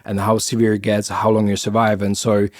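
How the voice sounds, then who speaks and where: A man's voice: fairly low pitch, monotone, a little fast, a little mellow. A middle-aged man from the Czech Republic with a Czech accent, explaining science in a podcast interview with many fillers and restarts. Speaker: biotech founder.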